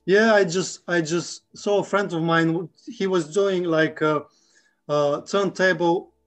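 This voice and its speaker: A man in his forties from Bulgaria, speaking English, talking haltingly as a guest in a casual interview. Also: high-pitched, fairly even intonation, faint music behind. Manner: halting, with many restarts and fillers. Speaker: guest, 3D artist.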